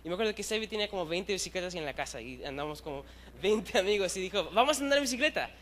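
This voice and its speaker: A young man in his twenties, from Chile, gives a youth sermon in a church hall. His voice is high and he tells his stories in a lively, self-deprecating way, mixing in English words. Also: very fast, a little hiss or hum. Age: young adult. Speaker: youth preacher. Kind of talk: casual storytelling sermon.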